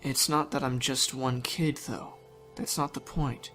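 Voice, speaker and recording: A man's voice: mid-range voice, fairly even intonation, a little slow, bright, forceful tone. A man plays a teenage boy with a mid-range voice. He talks frankly and casually, as a survivor in an audio drama, over light music. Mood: earnest, casual. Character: frank, foul-mouthed teenage boy with secret.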